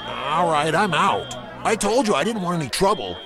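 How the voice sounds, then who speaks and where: An actor playing a nervous, gossiping high school boy in an audio drama, slangy and uneasy. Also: big pitch swings, normal speed. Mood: alarmed. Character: wary gossiping male high school student, nervous sidekick. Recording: loud music and crowd behind.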